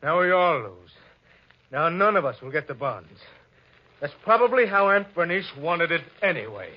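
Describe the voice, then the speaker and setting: An actor playing a rash, indignant young heir in American 1940s radio melodrama style. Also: male voice, on the high side, very animated, moderate pace, a little hiss or hum. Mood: bitter, defiant. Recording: muffled vintage radio recording.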